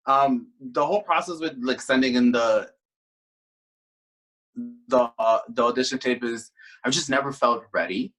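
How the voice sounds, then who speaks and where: A man's voice: medium pitch, unhurried, pauses often, a bit bright, rough recording. A man with a Puerto Rican accent, a drag performer talking in a sassy, campy way in a casual interview. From New Jersey.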